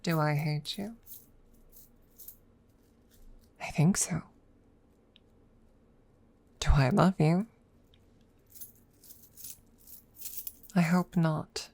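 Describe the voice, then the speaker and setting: Casting: a woman as a guarded, haughty female dragon for ASMR roleplay; gravelly low register, formal deliberate phrasing, breathy and near-whispered. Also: darkish tone, full character voice. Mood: guarded, reflective. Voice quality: breathy close murmur, often dropping to a whisper. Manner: formal, deliberate, hesitant, with long pauses. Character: proud, guarded female dragon secretly fond of her rival. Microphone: close microphone.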